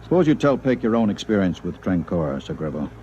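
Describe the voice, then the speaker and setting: An actor playing a businesslike chief of investigations, speaking in a clipped way in a 1950s radio drama. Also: male voice, low voice, sing-song, fast talker, warm tone. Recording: muffled old radio broadcast, faint noise.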